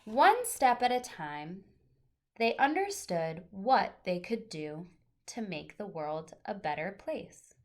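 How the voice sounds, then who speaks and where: A woman teacher reading a picture book aloud to young children, with deliberate phrasing and chatty asides to her listeners. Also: mid-range voice, very animated.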